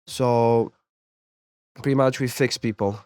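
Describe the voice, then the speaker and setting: A man's voice: mid-range voice, steady tone, takes it slowly, a little mellow, dry recording. A man from Poland, a sports therapist and MMA coach, explaining training and recovery in a loose, chatty way. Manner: explanatory, many fillers and restarts. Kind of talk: casual interview.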